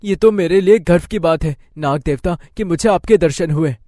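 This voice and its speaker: A man's voice: high voice, normal speed, warm tone, dry recording, voice pushed up, close to an everyday voice. A boastful young village layabout from a cartoon moral tale, voiced by a man. He speaks in a raised, exclaiming voice that sounds whiny and self-important. The naive son is heard close and dry. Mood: amazed. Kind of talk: cartoon moral story voice acting.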